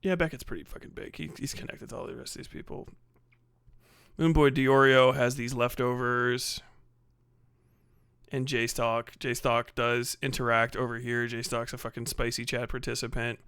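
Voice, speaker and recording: A man's voice: medium pitch, little pitch movement, moderate pace, fairly bright tone, clean tone, no echo, studio quality. A man streaming live, chatting casually and swearing often, muttering half to himself as he works on something. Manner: profane, muttering to himself while working. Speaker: livestreamer.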